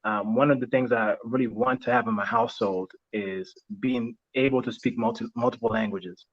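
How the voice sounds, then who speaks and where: A young man talks through his answers in a relaxed interview, pausing and restarting as he gathers his thoughts. Italian is his first language. The audio is a little muffled and lo-fi. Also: medium pitch, vocal fry. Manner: reflective, halting, many restarts and fillers. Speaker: interview guest. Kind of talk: casual interview chat. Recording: slightly dull lo-fi sound.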